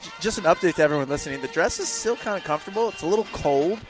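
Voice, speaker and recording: A man's voice: a little high, expressive, very fast. A man co-hosting a podcast, chatting casually with quippy asides. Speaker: podcast co-host. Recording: faint music behind dry voice. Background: music.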